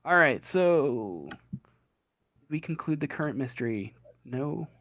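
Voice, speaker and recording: A man's voice: fairly high pitch, animated, slow, fairly bright tone, dry recording. A man running a tabletop role-playing game as game master over a voice call, hesitant and full of fillers. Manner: hesitant, filler-heavy, trailing off.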